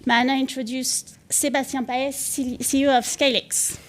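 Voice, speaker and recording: A woman giving measured, scripted opening remarks as the host of a conference. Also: high voice, little pitch movement, a little hiss or hum. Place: conference venue.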